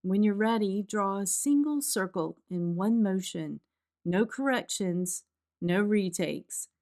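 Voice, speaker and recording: A woman narrating a scripted guided meditation in a soft, gentle, calm and soothing way, as the guide of the practice. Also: mid-range voice, takes it slowly. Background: instrumental music.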